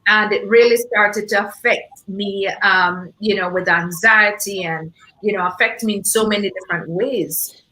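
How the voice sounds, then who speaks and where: A woman with a Jamaican accent and a bright, forceful voice hosts an event discussion. She speaks off the cuff, effusively and with emphasis, at an average pitch. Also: steady tone, normal speed, poor audio. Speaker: event host. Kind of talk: unscripted panel discussion talk.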